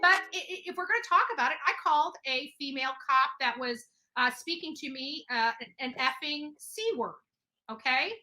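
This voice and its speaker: A woman's voice: high voice, fairly quick, pushed and bright, faint music behind, poor audio. A woman talking casually and bluntly about herself in an interview, repeating phrases for emphasis. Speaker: interview guest. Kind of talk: casual personal storytelling in an interview.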